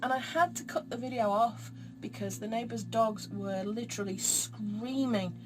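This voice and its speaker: A woman, a bit throaty and croaky, giving a chatty, encouraging monthly tarot reading straight to her viewers. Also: fairly high pitch, moderate pace, music in the background, low-quality recording. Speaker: tarot reader.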